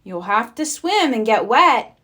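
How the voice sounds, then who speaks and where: A woman's voice: fairly high pitch, very animated, unhurried, sharp tone, heavily acted. A woman voicing a confident, encouraging young boy team leader in a storybook, upbeat and earnest.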